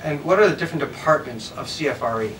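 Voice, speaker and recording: A man hosts an interview with playful enthusiasm. His voice sits slightly high and moves quickly. The mic is a little distant, with faint room noise. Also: animated, a bit bright. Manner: chatty, playfully enthusiastic, with exclamations. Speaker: TV show host and interviewer. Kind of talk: interviewing guests on a music show. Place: room with couches, amps and instruments.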